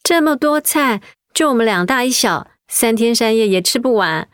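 An actress playing a caring young mother in a radio drama, with a gentle, reassuring way of talking. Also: fairly high pitch, expressive, moderate pace, clear, resonant tone, dry recording, studio quality, natural voice.

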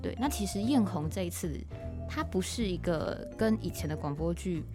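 A woman's voice: on the low side, expressive, normal speed, over music. A woman with a Taiwanese Mandarin accent hosting a conversational podcast interview, explaining things and readily agreeing as she talks. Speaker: podcast host and audio drama writer.

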